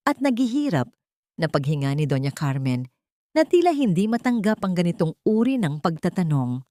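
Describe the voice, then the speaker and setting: Female storyteller, lowish register, solemn scripted drama narration, measured phrasing, close and dry recording. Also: mellow, no room sound.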